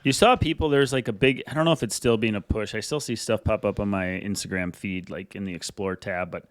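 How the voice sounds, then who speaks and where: A man's voice: on the low side, steady tone, fairly quick, dry recording. A man co-hosting a podcast in casual chat, joking and rambling. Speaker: podcast co-host.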